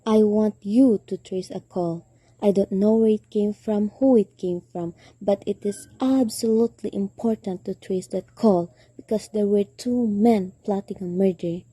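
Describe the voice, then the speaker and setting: A woman's voice: mid-range voice, measured pace, mellow, breathy edge, no room sound. A teenage girl acting an anxious, demanding, sickly married woman in an amateur radio drama. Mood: insistent, exasperated. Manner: insistent, imperious.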